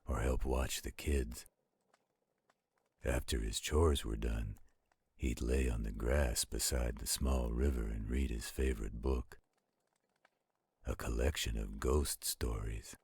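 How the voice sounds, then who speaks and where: Very deep, gravelly gentle werewolf. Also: male voice, full character voice. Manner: slow, soothing, patient. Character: gentle protective adult male werewolf reading aloud. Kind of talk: roleplay audio storytelling.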